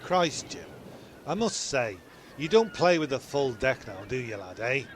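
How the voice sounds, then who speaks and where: A man's voice: mid-range voice, very animated, measured pace, slight background noise. A man playing a blunt, argumentative castaway in a comic audio play. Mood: scoffing. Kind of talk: comedic audio play acting.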